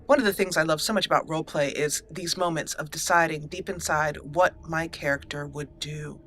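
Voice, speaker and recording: Bright-voiced woman narrating a roleplay game. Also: low-pitched, a little fast, slight background noise. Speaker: gaming channel host. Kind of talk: roleplay let's play narration.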